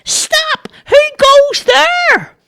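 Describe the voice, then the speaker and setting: A man voicing Charlie, a nervous excitable young man, shouting in a squeaky high voice. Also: extremely slow, creaky, exaggerated voice. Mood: panicky.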